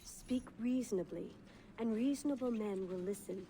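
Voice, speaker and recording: An actress playing a steadfast, level-headed wife of an assassin master in a video game, speaking in a measured, formal way. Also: female voice, medium pitch, a little hiss or hum, lightly acted. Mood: calm, resolute. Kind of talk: dramatic video game acting. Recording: loud music behind the voice.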